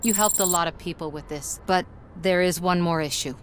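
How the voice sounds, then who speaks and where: A woman's voice: on the low side, a little fast, slight background noise, natural voice. An actress playing a wry, determined woman in a video game, talking in a casual, coaxing way. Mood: businesslike, coaxing.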